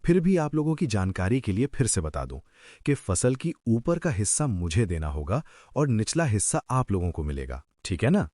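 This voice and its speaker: A man's voice: on the low side, big pitch swings, moderate pace, dark tone, no room sound, clean studio sound. A man voicing a smug, sly village moneylender. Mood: gloating. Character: greedy cunning village moneylender, adult man. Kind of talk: Hindi cartoon story voice acting.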